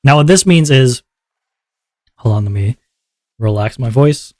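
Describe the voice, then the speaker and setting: A man's voice: unhurried, no echo. Chatty streamer man, dry close mic. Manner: upbeat, chatty, checks in with chat often; relaxed lower voice after a viewer redeem. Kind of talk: casual livestream chat explaining rules.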